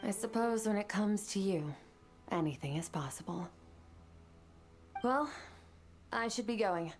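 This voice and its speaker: A woman playing an ageless, aloof swordswoman master in an anime video game, speaking softly in a measured, poetic way. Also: medium pitch, lively intonation, close to an everyday voice. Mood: calm, gently hopeful. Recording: music under the voice.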